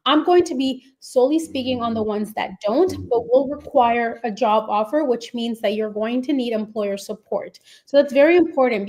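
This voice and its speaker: Upbeat webinar host: a woman, fairly high voice, friendly explanatory delivery with fillers. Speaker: immigration firm CEO hosting a webinar. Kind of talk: live webinar presentation.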